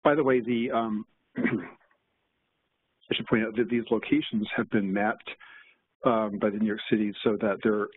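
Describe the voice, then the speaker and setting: A man walking through a software demo at a meetup, talking in a halting way with many fillers and restarts. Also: on the low side, steady tone, slightly rough, no room sound. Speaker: presenter. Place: meetup room. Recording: tinny, lo-fi meetup recording.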